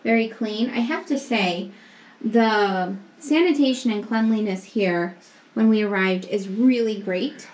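A young woman giving an upbeat, slow walkthrough of a hotel suite, pointing things out as she goes, recorded on a handheld camera. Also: mid-range voice, a little mellow. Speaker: vlogger giving a room tour. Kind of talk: casual walkthrough narration. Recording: echoey rooms with faint ambience.

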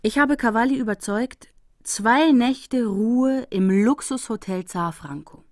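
An actress playing a determined female investigator in a crime audio drama, narrating like a diary in a brisk, matter-of-fact way. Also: on the high side, darkish tone, no room sound, studio quality, lightly acted. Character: determined female investigator reporting on her travels.

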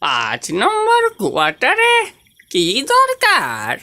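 A woman voicing a superstitious, fearful villager in a horror cartoon, with exaggerated, drawn-out delivery. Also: high voice, wildly swooping pitch, gravelly, sharp tone, heavily acted. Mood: wary, warning.

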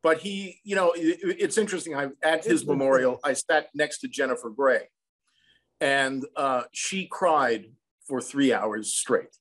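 An American man in his sixties, an interview guest telling anecdotes in a reflective, measured, conversational way. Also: medium pitch, fairly bright tone, low-quality recording. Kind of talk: conversational storytelling. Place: room at home, indoors. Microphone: video call.

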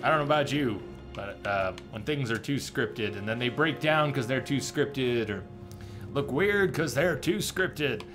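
A male streamer comments casually on his live gameplay. His voice is medium in pitch, with a wry, puzzled grumble. Game music plays clearly behind him. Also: expressive, measured pace. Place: indoor streaming setup. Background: game music and effects.

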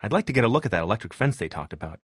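A nerdy, mild-mannered survivor in a zombie video game. His voice sits fairly low and is recorded close and dry. He talks in an earnest, slightly hesitant way and turns pedantic when he explains things. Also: very animated, racing, slightly rough, no echo. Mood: curious, thoughtful. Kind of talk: naturalistic video game voice acting.

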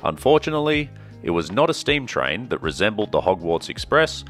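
A man narrating his travel vlog in a calm, measured, scripted way. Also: fairly low pitch, soft background music. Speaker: travel vlogger.

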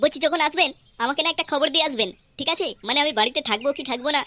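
Woman as squeaky fast-talking cheeky cartoon boy. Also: bright, forceful tone. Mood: polite, secretive. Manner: boastful, teasing. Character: cheeky boastful boy, Futo, showing off. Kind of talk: Bengali comedy cartoon voice acting.

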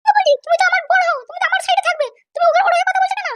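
A performer voicing a shrill, defiant young wife who screeches accusations in a comedy cartoon. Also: flat delivery, racing, noisy background. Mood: accusing.

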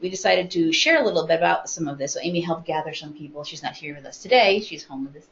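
A woman's voice: sits low, expressive, fast talker, creaky. An American woman hosting an informal talk about books, chatty and explanatory, given to small digressions. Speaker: webinar host, library consultant. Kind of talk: informal book talk to colleagues. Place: meeting room with colleagues around a table. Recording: dull, noisy conference room audio.

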